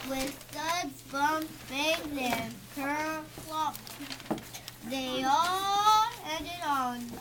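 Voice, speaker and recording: A young, high-pitched reader works slowly through a story. The delivery is halting and careful, with small stumbles. The room around the recording is clearly noisy. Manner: halting, word-by-word reading with stumbles. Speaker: student reader in readers theatre. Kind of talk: reading a story aloud.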